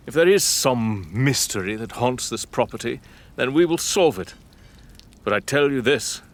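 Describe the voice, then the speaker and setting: A man's voice: mid-range voice, close to an everyday voice. A man playing a haughty, defiant lord of the manor in a gothic audio drama, speaking in an imperious, sardonic, theatrical way.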